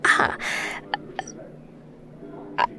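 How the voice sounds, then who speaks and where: A woman's voice: extremely slow, sharp tone, exaggerated voice. A woman with a Scottish accent playing a shy, sweet young shepherdess in an audio roleplay, stammering and rambling with nervous giggles. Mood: flustered. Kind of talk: audio roleplay acting, intimate.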